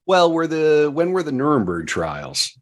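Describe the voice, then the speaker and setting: A man hosting a podcast discussion, speaking with deliberate, emphatic phrasing and at times reading from prepared notes. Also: on the high side, wildly swooping pitch. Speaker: podcast host.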